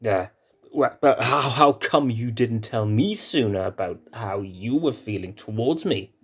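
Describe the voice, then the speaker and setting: A man's voice: mid-range voice, measured pace, slightly rough. A man voicing a shy young male lion cub prince who stammers and hesitates. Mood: flustered.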